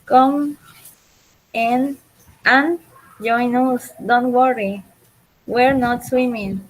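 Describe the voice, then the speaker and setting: A woman student in an online English class, reading a textbook passage aloud slowly and carefully. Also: a little high, fairly even intonation, low-quality recording.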